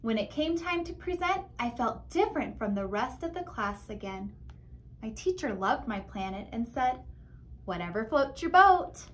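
A woman's voice: fairly high pitch, very animated, normal speed. A middle-aged woman reading a children's story aloud expressively. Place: classroom with windows, indoors. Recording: faint background noise in classroom.

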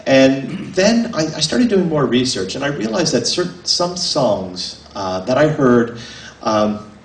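Halting man talking in a roomy library. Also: medium pitch, big pitch swings, slight background noise. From United States. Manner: rambling and halting, many fillers and restarts. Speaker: author. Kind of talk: informal talk to a small audience. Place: library room, indoors. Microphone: table mic on a stand, filmed from a distance. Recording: roomy, mic at a distance.

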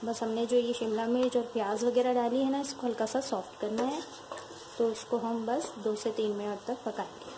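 A woman with a speech hosting a home cooking tutorial, calmly walking viewers through each step in her kitchen. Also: on the high side, normal speed. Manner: calm, instructive, addresses viewers directly. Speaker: cooking channel host. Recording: noisy home recording with background ambience.

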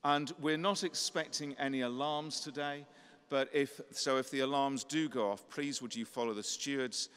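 A middle-aged clergyman speaks at a lectern microphone, his voice slightly croaky yet smooth and clear. He delivers a courteous, gently humorous welcome. Long cathedral reverb trails each phrase. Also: mid-range voice, fairly even intonation, normal speed. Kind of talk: formal welcome address. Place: large cathedral.

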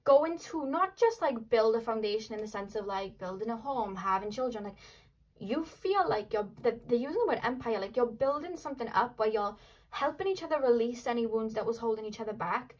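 A woman's voice: on the high side, lively intonation, fairly quick. A young woman with a Welsh accent giving a casual tarot reading, chatting in a rambling way full of fillers and "like". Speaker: tarot reader.

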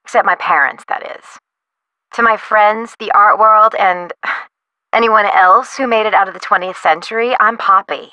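An actress playing an eccentric, theatrical avant-garde artist in a video game, drawling and flippant. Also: female voice, mid-range voice, normal speed, bright, forceful tone, no echo, natural voice, low-quality recording. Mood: flippant, quirky.

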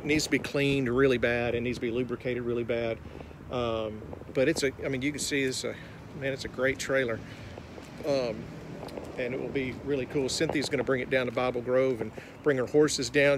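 A man in his forties rambles through a casual walkthrough, pausing often on ums and uhs as he explains his work. His voice sits at an ordinary middle pitch. It's caught on a phone held at arm's length, with clear noise in the background. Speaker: DIY renovator. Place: inside a trailer, then outdoors in a yard. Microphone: handheld phone camera at arm's length. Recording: noisy phone recording.

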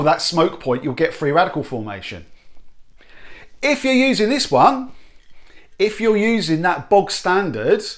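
Animated man explaining nutrition. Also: fairly high pitch. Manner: animated, emphatic, gestures with hands. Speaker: nutrition presenter. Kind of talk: explanatory to-camera talk. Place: home studio room. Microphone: close to camera, medium shot.

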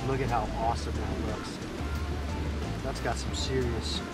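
A man's voice: medium pitch, flat delivery, unhurried, over loud music. Enthusiastic man vlogging outdoors. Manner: enthusiastic, coaching and narrating on the go. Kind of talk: outdoor camping vlog. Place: outdoors at a forest lakeside and campsite.

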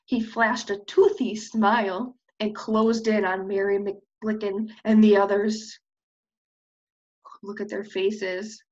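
A woman's voice: medium pitch, level tone, slow pace, a little mellow. A young woman teacher reading a children's storybook aloud expressively and prompting with questions, recorded on a computer microphone. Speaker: preschool teacher. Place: indoors on a screen-share video call. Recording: slightly dull webcam audio.

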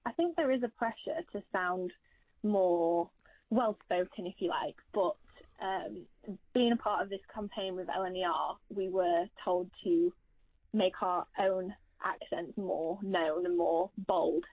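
A woman's voice: lowish voice, unhurried, dry recording. A woman in her twenties with a Yorkshire accent, answering interview questions casually as a guest. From Doncaster, Yorkshire, England. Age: 20s. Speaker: guest, YouTuber. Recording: muffled phone-line sound.

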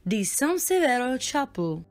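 A woman's voice: high-pitched, very animated, measured pace, soft background music. Woman narrating a museum tour video. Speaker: voice-over narrator. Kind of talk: scripted narration.

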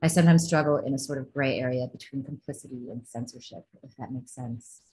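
A woman's voice: very deep voice, a little fast, mellow, poor audio. A woman literary translator on an online panel, reading out audience questions and answering thoughtfully with frequent ums and restarts, speaking over a laptop video call from her home living room.

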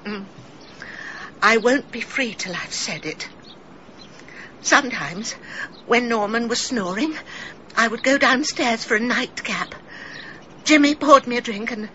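A woman's voice: a little high, pushed and bright, dull audio, slight background noise. An actress playing a genteel middle-aged English village woman in a radio drama, confessing in a wistful, melodramatic, theatrical way. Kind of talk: British radio drama acting.

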